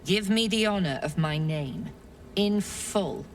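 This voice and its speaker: A woman's voice: mid-range voice, slow pace, distant mic, slight background noise, lightly acted. A woman voicing an imperious, cruel cult matriarch in a fantasy video game, speaking in a haughty, condescending way. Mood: imperious, contemptuous. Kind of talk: theatrical game dialogue. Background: background music.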